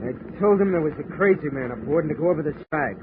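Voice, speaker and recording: A man playing a blunt boat skipper who speaks gruffly and plainly, his voice slightly high for a man. He comes through a tinny 1940s radio broadcast. Loud hiss runs under every word. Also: animated, rapid-fire, close to an everyday voice. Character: blunt male boat skipper held captive.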